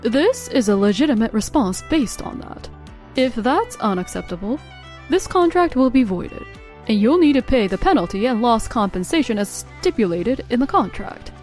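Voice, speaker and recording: A woman's voice: a little high, sing-song, normal speed, darkish tone, faint music behind. A woman playing a cool, uncompromising young businesswoman in a manga dub, speaking formally and firmly. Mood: businesslike. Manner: formal, firm.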